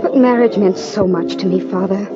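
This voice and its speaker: An actress playing a wistful, genteel, unhappily married daughter in an old-time radio drama. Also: female voice, mid-range voice, moderate pace, lightly acted. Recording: muffled old radio, loud music.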